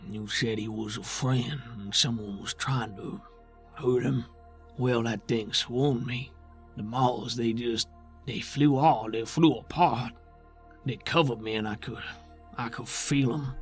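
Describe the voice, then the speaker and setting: A man acts out a weary rustic creature in a tabletop roleplaying game. The speech is very slow and halting, folksy and ungrammatical, protective in temper, with faint music underneath. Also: medium pitch, steady tone, lightly acted. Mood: troubled, regretful.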